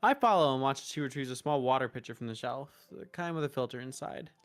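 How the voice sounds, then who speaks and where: A woman streaming a visual novel, reading narration and dialogue aloud expressively, halting in places. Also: very deep voice. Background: game music.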